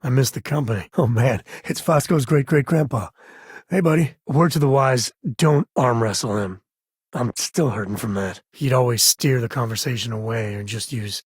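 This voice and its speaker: A husky, wry spacefaring ranger from a video game, played by a male actor, with a mid-pitched male voice. He talks in plain, folksy phrases like a protective, easygoing dad. The sound is close and dry. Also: normal speed, no echo, lightly acted. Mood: wry, friendly. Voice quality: husky, raspy. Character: laid-back, protective spacefaring frontier ranger and devoted father. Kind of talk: video game character voice lines.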